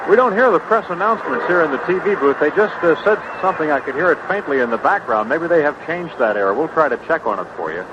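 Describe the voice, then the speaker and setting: American baseball announcer, muffled vintage broadcast. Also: male voice, on the high side, animated, fast, hardly any pauses, heavy background noise. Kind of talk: live sports commentary. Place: stadium broadcast booth.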